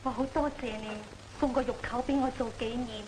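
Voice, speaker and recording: An actress playing a gentle, devoted young woman in an old Cantonese opera film, with a Cantonese accent. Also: a little high, fairly even intonation, a little fast, slight background noise, natural voice. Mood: tender. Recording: echoey old film soundtrack, loud music.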